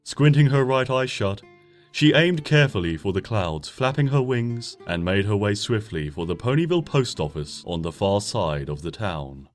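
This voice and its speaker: A man narrating a story aloud, expressive, rising brightly and then dropping to a hush. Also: on the low side, normal speed. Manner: expressive, swings between bright and hushed. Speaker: fanfiction reader. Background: soft guitar music.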